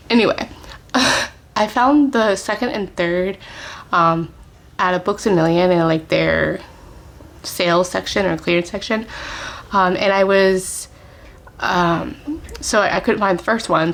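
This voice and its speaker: A woman's voice: medium pitch, gravelly. Chatty woman book vlogger at home. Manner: chatty, with soft laughs and whispered asides. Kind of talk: casual chat to camera. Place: at home, family in another room.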